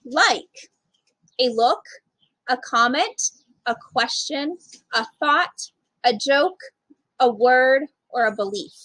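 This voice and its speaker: A woman with a high-pitched voice reads a picture book aloud to young kids. Her intonation swings widely and expressively, and she addresses her little listeners directly. The sound is dry and close, with very little room in it. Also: expressive, takes it slowly, pauses often, pushed and bright, no echo, low-quality recording. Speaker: children's storytime reader. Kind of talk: read-aloud to young children.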